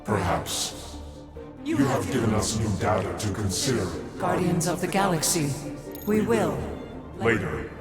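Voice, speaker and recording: An actor voicing an emotionless alien collective mind in a video game, formal and stilted, with a layered, processed voice. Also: male voice, mid-range voice, sing-song, a little slow, long pauses. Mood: detached. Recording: echoing, loud music behind.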